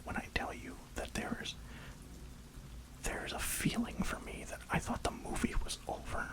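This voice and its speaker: A reviewer whispering an enthusiastic, rambling ASMR film review in a breathy, unvoiced voice. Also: moderate pace, rough recording. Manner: enthusiastic, rambling, emphatic repetition.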